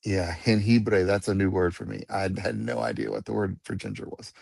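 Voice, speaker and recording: Gravelly man patiently spelling words. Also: on the low side, normal speed, a little mellow, no echo, clean studio sound. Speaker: guest Spanish speaker. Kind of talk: casual teaching chat.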